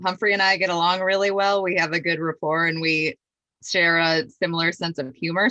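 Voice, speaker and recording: A woman talks casually as an actress in an interview. Her voice is very low for a woman, with a bright edge. It is recorded very dry. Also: no echo, dull audio.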